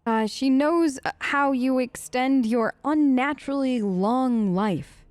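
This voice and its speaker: A woman gamer hosting a role-play let's play, conversational, expressive and emphatic. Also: high-pitched, slow, no room sound. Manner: expressive, emphatic, incredulous asides. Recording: close dry mic, faint game music. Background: faint game music.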